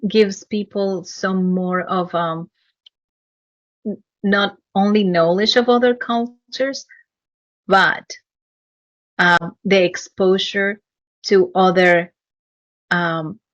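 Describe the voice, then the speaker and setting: Woman podcast host, unhurried and earnest, dry close sound, a bit lo-fi. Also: fairly low pitch, steady tone, no echo. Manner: measured, earnest, hesitant with ums. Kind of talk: interview, reading guest bio.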